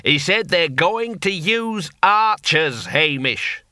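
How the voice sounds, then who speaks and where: A man's voice: high voice, sing-song, takes it slowly, pushed and bright, no echo, studio quality, pitched above the performer's own voice, full character voice. A man voicing an exasperated old barbarian warrior in an audiobook, with blunt colloquial talk.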